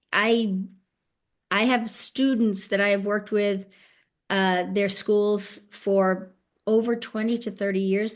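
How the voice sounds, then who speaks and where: A woman speaking as a panelist on a video call, telling fond stories about her work as a tour director. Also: mid-range voice. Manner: earnest, fond storytelling with fillers. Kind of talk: casual webinar chat.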